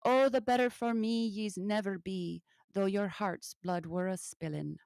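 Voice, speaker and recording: Lovesick young man near death, read by a woman reader: weak, sorrowful, slow. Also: medium pitch, steady tone, gravelly, darkish tone, clean tone, no room sound.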